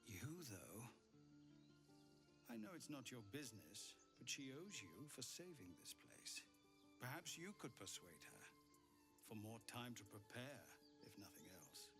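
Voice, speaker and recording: The man you would meet at the gate of a besieged fantasy game camp, grave, tired and sincerely pleading for his people, under a loud score. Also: mid-range voice, big pitch swings, measured pace. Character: weary, earnest male leader of besieged refugees. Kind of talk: dramatic video game dialogue. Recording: loud music behind the voice.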